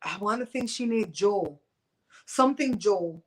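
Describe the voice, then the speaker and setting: A woman's voice: medium pitch, expressive, measured pace, low-quality recording. A woman in her fifties from Belize with a Belizean Creole accent, hosting a live show and chatting bluntly and gossipily with viewers, laughing often. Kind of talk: casual chat with live viewers.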